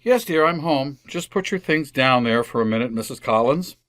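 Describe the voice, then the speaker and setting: A man's voice: mid-range voice, animated, moderate pace, fairly bright tone, natural voice. A man playing a placating, easily exasperated suburban husband in an old-time radio comedy, stammering and hedging. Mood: breezy.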